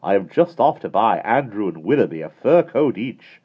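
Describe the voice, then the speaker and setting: A man voicing a fussy, genteel lady with a fluttery, drawn-out way of speaking. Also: fairly low pitch, sing-song, breathy edge, lo-fi sound, voice pushed up. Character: fussy genteel lady, middle-aged, prim.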